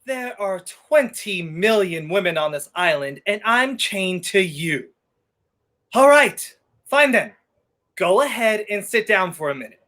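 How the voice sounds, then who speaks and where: A man's voice: sits high, very animated, measured pace, pushed and bright, exaggerated voice. A man playing a brash, sardonic, commanding fugitive in a 1930s radio play. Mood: exasperated. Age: young adult. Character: brash wrongly accused man on the run, sardonic, urgent.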